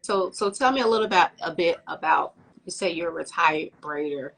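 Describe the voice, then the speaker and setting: A woman hosting a livestreamed podcast, greeting viewers warmly and asking long, rambling questions. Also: fairly low pitch, a little fast, vocal fry. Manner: upbeat, welcoming, rambling questions. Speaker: podcast host.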